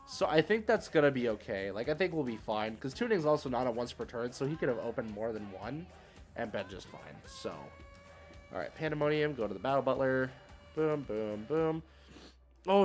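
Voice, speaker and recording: Casting: male livestream gamer, mid-pitched, casual and unhurried in attitude, musing through his moves, light music underneath. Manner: thinking aloud, easygoing and hesitant. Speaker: livestream host and card game player. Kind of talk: casual live game stream commentary.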